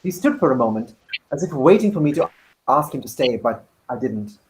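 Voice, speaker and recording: A man reading a novel aloud as an actor, deliberate, with dramatic pauses. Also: medium pitch, lively intonation, low-quality recording.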